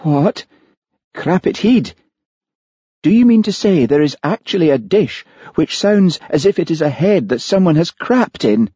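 A man voicing a haughty, seductive woman in an audiobook story, with a breathy, theatrical manner. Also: fairly high pitch, sing-song, moderate pace, dark tone, dry recording, dull audio, pitched above the performer's own voice. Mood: scornful, demanding. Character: haughty seductive woman, scornful of local food.

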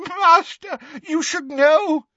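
A woman voicing a fanatical dark witch from a storybook, shrieking and servile, with shrill pleas to her master. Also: takes it slowly, no room sound, muffled recording, heavily acted. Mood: terrified, pleading.

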